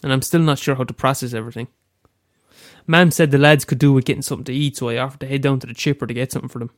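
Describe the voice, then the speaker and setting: Irish man, young, stuffy head cold, smooth resonant voice, dry close sound, reading own story. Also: medium pitch, no room sound. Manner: casual, hesitant with fillers in the intro. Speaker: amateur storyteller. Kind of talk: reading his own short story aloud.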